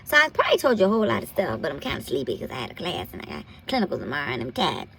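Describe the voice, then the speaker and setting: A woman's voice: low voice, wildly swooping pitch, racing, vocal fry, a bit bright, a little hiss or hum. An American woman hosting an informal health commentary, giving candid advice in a blunt, salty, folksy way. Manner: blunt, profanity-laced, folksy terms of address. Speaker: health commentary host and nurse practitioner.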